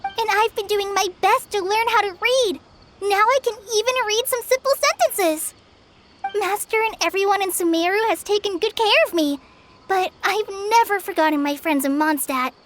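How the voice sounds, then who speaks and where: A very high-voiced young girl from a video game, cheerful and sincere. She speaks with sweet, girlish eagerness. There is clear noise behind her. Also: moderate pace. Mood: eager, earnest.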